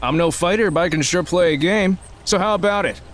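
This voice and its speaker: A man's voice: high voice, a little fast, lightly acted. A man playing an arrogant, demanding millionaire villain in an audio drama, speaking in a haughty, insistent way. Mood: indignant. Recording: faint background noise.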